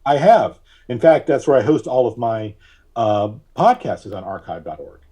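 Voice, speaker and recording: A man's voice: medium pitch, sing-song, moderate pace, warm tone, slightly rough. A man chatting casually as a guest in a podcast interview.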